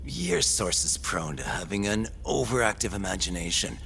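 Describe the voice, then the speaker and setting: An actor playing a smug, slippery crime boss in an audio drama, sardonic and mocking. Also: male voice, sits low, very animated, moderate pace, gravelly, bright, forceful tone, a little hiss or hum, exaggerated voice.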